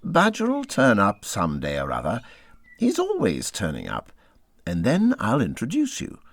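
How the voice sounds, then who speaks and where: A man's voice: on the low side, huge pitch swings, a little slow, no echo, exaggerated voice. A man voicing a cautious Water Rat in a storybook, fussily reassuring and evasive. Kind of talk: storybook character voice.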